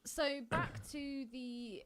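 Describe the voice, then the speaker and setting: A woman's voice: high-pitched, drawn-out words, a crowd in the background. A British woman co-hosting a film podcast in casual banter, argumentative, sweary and teasing.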